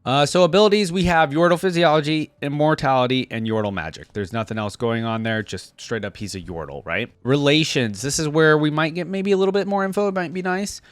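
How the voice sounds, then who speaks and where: A man's voice: medium pitch, no room sound. A man hosting a casual reaction video, talking informally and conversationally as he reads and thinks aloud. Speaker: video host.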